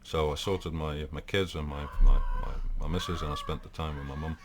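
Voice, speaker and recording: Portuguese-accented male host, deep voice, loose and rambling with lots of restarts. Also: fairly even intonation, brisk, noisy background. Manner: rambling, many fillers and restarts. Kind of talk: casual live talk.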